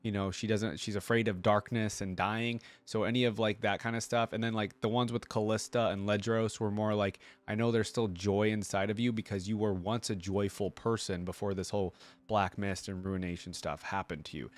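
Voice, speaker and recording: A man's voice: lowish voice, steady tone, normal speed. A man hosting a reaction video, giving casual, appreciative commentary and musing aloud.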